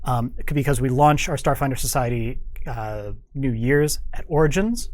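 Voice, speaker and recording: A man's voice: mid-range voice, normal speed, no room sound. A man speaking as an interview guest, casually explaining how things work in careful detail. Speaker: guest, game organized-play developer.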